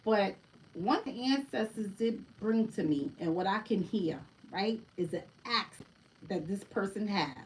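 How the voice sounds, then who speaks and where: A woman's voice: mid-range voice, expressive, a little slow, a little mellow, recorded from a distance, slight background noise, poor audio. Woman chatting through a card reading. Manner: chatty, keeps asking "right?" and calls listeners "family". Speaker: oracle card reader. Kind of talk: casual livestream card reading.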